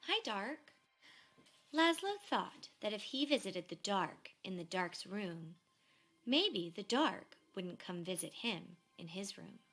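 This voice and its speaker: A woman narrates a children's picture book at a slow pace and medium pitch. Her reading is expressive and measured, with phrase endings often dropping to a hush. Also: faint music behind. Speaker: storybook reader.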